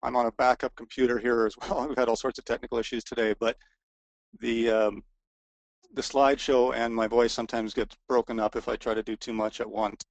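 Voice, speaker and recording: A man's voice: mid-range voice, level tone, a little fast, creaky, no echo, poor audio. A man co-owning a resort presents a webinar in a hesitant, informal way, with many fillers and restarts, his voice wearing out.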